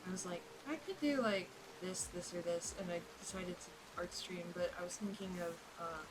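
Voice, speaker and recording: A young woman streaming while drawing, talking in a rambling, chatty way full of fillers, her voice slightly raspy. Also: lowish voice, normal speed, noisy background. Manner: rambling, chatty, self-interrupting with frequent fillers. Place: home streaming setup.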